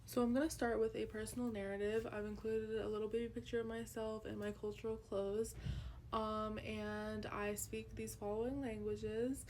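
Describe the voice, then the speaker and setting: A woman's voice: on the high side, level tone, normal speed, a little mellow, a little hiss or hum, rough recording. A young woman whose first language is Urdu, earnestly presenting a class project with frequent ums. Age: young adult. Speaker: student presenter.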